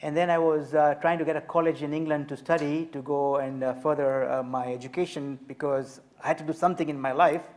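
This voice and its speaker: A man in his fifties, from Tanzania, telling his story as an author and speaker on a festival panel, measured and emphatic. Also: fairly high pitch, steady tone, darkish tone. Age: 50s.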